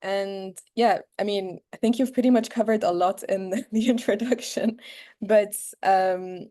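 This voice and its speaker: Mellow-voiced woman speaking on a video call. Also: medium pitch, steady tone, measured pace. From Egypt. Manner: warm, earnest, many fillers and restarts. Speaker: guest speaker. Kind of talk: casual talk on a video call.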